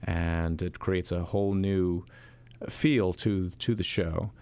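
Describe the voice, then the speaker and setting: Man, very low voice, dry close sound, stage actor in an interview, lots of uhs and restarts. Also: fairly even intonation, a little mellow, smooth, dry recording. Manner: halting, many fillers and restarts. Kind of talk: interview answers.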